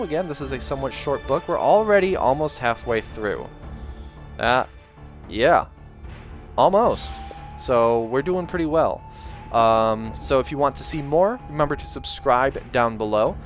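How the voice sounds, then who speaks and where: A male host reads fiction aloud in an expressive narrator's style and slips into casual chat. His pitch is average for a man. Soundtrack music plays behind him. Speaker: reader and channel host.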